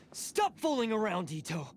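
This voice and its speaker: A man's voice: high voice, creaky, a little hiss or hum, exaggerated voice. A man voicing a bitter, proud, self-sacrificing blue oni in a video game, intense and defiant. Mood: defiant, resolute.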